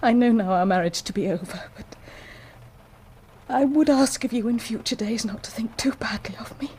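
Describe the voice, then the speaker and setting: Woman playing remorseful wife, high soft-toned voice, halting emotional delivery, period radio drama with some noise. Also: close to an everyday voice. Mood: anguished confession. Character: anguished, remorseful wife and mother confessing to her husband.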